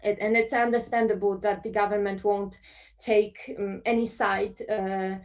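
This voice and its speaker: A woman's voice: mid-range voice, little pitch movement, unhurried. A young woman from Poland, a student speaking as a panelist in an online discussion, thoughtful, with many fillers. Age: young adult. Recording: distant from the mic.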